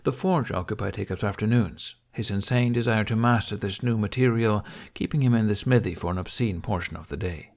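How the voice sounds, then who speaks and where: A man's voice: on the low side, brisk, few pauses, a little mellow. A man reading scripted audiobook narration of a story.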